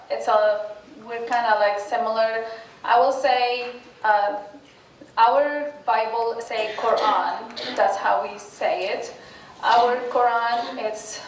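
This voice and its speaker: A woman from Tunisia, speaking with many fillers and restarts as a guest speaker at an informal class presentation. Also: a little high. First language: Arabic and French. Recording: echoey room from a distance, faint hiss.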